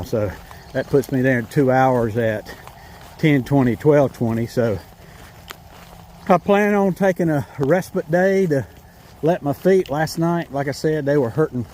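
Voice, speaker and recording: A man with a North Carolina Southern accent chats in a rambling, folksy way, correcting himself as he goes. His voice sits at an ordinary pitch. Faint outdoor noise runs under his voice as he walks a rural trail. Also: a little mellow. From North Carolina, USA. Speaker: hiking vlogger. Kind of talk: casual walking vlog to camera.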